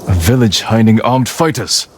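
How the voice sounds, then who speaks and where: A man playing a stern, brooding samurai in a video game, speaking in terse, measured, formal phrases. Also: lowish voice, slight background noise, natural voice. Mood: stern, regretful. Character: stern, brooding samurai warrior, grave and resolute. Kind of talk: dramatic video game cutscene acting. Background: game music.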